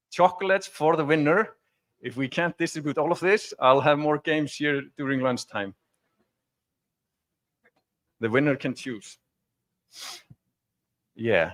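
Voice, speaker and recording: A man's voice: medium pitch, a bit bright. Man giving a tech talk. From Iceland. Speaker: conference speaker. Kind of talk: informal technical talk with live demo. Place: conference stage before an audience.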